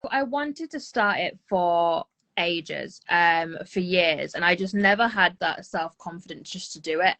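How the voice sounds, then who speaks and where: Woman, 20s, low-ish voice, friendly, candid, um fillers, video call. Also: measured pace, fairly bright tone. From West Midlands, England. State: recovering from an illness. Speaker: guest, clothing brand founder. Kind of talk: casual interview answers.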